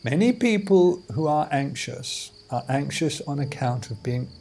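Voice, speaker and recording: A man leading a guided meditation as a teacher, in measured, deliberate phrases with pauses between them. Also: medium pitch, gravelly, dark tone. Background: music and outdoor insect sounds.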